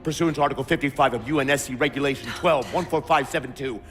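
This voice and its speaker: A man playing a stubborn starship captain who issues curt, bureaucratic orders in a mid-range voice. The game audio has a long reverb and a soft score behind. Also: medium pitch, quick pace, faint music behind, lightly acted. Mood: commanding. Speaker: ship captain. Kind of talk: video game cutscene acting.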